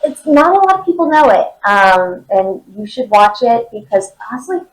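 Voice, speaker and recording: A young woman with a high, nasal voice answers questions at a convention. She talks in a loose, chatty way, full of ums and likes. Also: big pitch swings, moderate pace, rough recording. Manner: chatty, many fillers. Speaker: voice actor guest. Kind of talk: casual convention interview.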